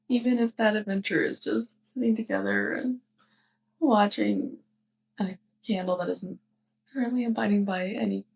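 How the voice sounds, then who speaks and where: A woman playing a sweet, clumsy young witch neighbor in an intimate ASMR roleplay, speaking softly and hesitantly with shy affection. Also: mid-range voice, steady tone, measured pace, pauses often, poor audio. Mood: shyly hopeful. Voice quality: soft, breathy. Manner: hesitant, giggly rambling.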